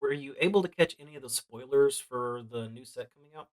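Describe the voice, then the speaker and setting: Man, average pitch, podcast host, casual interviewer, conversational questions. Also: fairly quick. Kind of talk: casual chat, asking questions.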